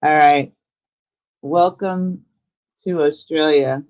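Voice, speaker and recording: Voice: medium pitch, steady tone, slow, darkish tone, muffled recording. A live stream host chatting casually and informally, thinking aloud while giving a tour of a virtual world.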